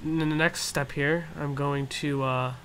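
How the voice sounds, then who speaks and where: A man's voice: fairly high pitch, steady tone, measured pace, fairly bright tone, slight background noise. A man giving a casual flight simulator tutorial, explaining each step in an offhand, hesitant way. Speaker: gaming tutorial host.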